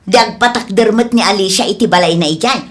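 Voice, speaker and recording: A woman's voice: mid-range voice, quick pace, natural voice. A woman with an Ilocano accent playing a brazen grown daughter and mother in a radio comedy drama. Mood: curious, gossipy.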